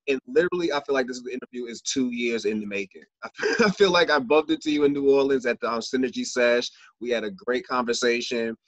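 A man's voice: medium pitch, fast talker, few pauses, fairly bright tone, dry recording, highs cut off. A man hosting a casual podcast interview, chatty and upbeat with loose restarts. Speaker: podcast host.

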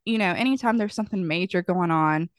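A woman's voice: lowish voice, a little fast, no echo, clean studio sound. Young woman, nasal with a cold. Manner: rambling, giggly, lots of likes and ums. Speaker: podcast co-host. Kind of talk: casual chat.

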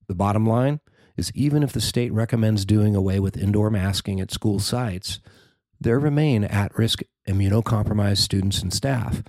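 A man's voice: lowish voice, darkish tone, no room sound. An American man reading a scripted news report as a reporter. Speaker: reporter reading own written article.

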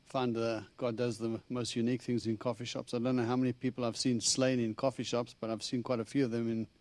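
Middle-aged South African-born preacher, Texan accent, humorous storytelling sermon, dry close sound. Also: male voice, medium pitch, flat delivery, fast, a little mellow, no room sound. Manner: chatty and humorous, acting out dialogue with God. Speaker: visiting preacher. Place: church.

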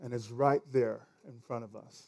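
A man's voice: mid-range voice, steady tone, normal speed, creaky, a little mellow. A man with a Nigerian accent preaching a sermon as pastor, conversational and now and then stumbling over words.

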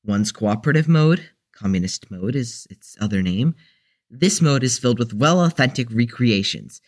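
A man playing a mild-mannered, unsure young newcomer with a halting delivery in an audio drama. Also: mid-range voice, lively intonation, close to an everyday voice. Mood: explaining.

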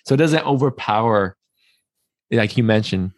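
A man's voice: fairly low pitch, animated, moderate pace, no room sound. A man hosting a podcast interview in a casual, talkative and affirming way. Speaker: podcast host.

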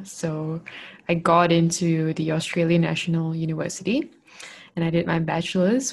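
A woman's voice: low voice, gravelly, a little mellow. A young woman from Malaysia co-hosting a podcast, chatting casually with many "you know" fillers. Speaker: podcast co-host. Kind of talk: casual interview chat.

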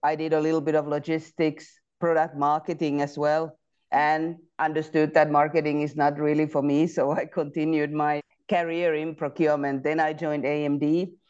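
A woman in her forties from Finland, a guest in a podcast interview, telling her story calmly and reflectively. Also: deep voice, moderate pace, clean tone, dull audio. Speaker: guest, procurement executive.

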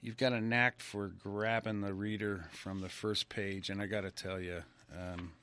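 A man in his sixties from Connecticut hosting a radio interview, chatty, laughing often and filling pauses with ums. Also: on the low side, little pitch movement, bright, forceful tone. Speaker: radio show host. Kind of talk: casual interview chat.

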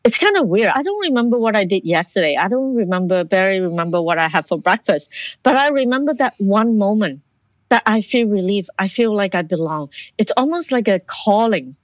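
A woman's voice: mid-range voice, sing-song, fairly quick, dry recording. A woman from Hong Kong, a guest in an interview who tells her life story in an earnest, reflective way and often restarts her sentences. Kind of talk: personal life story in conversation.

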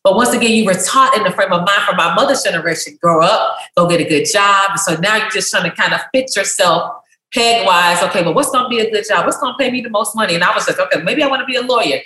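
A woman hosting a podcast, warmly effusive as she introduces and questions her guest. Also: mid-range voice, quick pace. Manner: upbeat, effusive welcomes. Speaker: podcast host. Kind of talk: podcast interview. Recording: roomy echo.

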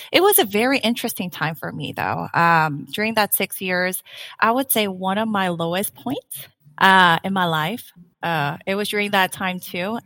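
A woman from Korea speaks as a podcast guest in a medium-pitched voice. She tells personal stories candidly, often repeating words as she goes. The recording leaves a long reverb on her voice. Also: fairly bright tone. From South Korea. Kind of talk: conversational personal storytelling.

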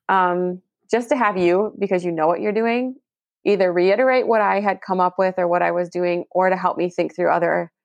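Lower-voiced woman co-host, easy and agreeable, dry studio sound. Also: dry recording. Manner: chatty, agreeable, adds asides. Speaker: podcast co-host. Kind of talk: casual podcast chat.

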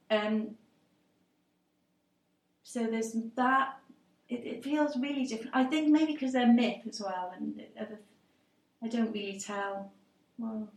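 A woman's voice: a little high, reverberant, distant mic, low-quality recording. A woman storyteller from Cardiff, answering interview questions thoughtfully and hesitantly, with many restarts. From Wales, Cardiff.

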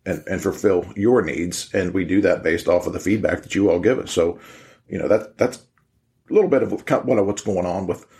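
A man's voice: deep voice, a little mellow. An American man talking informally on a podcast as a training program leader, explaining in a conversational, rambling way and repeating phrases as he goes.

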